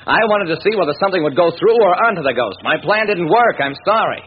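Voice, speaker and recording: An actor playing a wry, hard-boiled private detective narrating an old-time radio drama, on a tinny, noisy recording. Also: male voice, high-pitched, lively intonation, fast talker. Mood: defensive.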